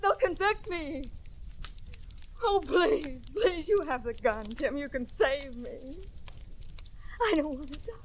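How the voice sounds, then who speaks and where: An actress playing a desperate, pleading killer in love, breathless and emotional in 1940s radio melodrama style. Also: female voice, high-pitched, takes it slowly, long pauses. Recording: noisy old radio recording.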